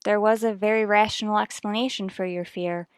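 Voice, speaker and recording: A woman's voice: medium pitch, fairly even intonation, brisk, clean tone, no room sound, studio quality, natural voice. An actress playing an anxious, troubled mother in an old radio soap, speaking earnestly and formally. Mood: apprehensive. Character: anxious, troubled mother and wife, polite and guarded. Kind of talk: mid-century radio soap acting.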